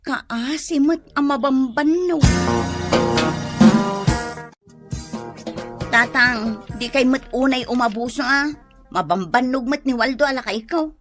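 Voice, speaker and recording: A woman's voice: high voice, normal speed, loud music behind the voice, close to an everyday voice. A woman with a Filipino accent playing a scolding, protective young wife in a comedy radio drama. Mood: fussing.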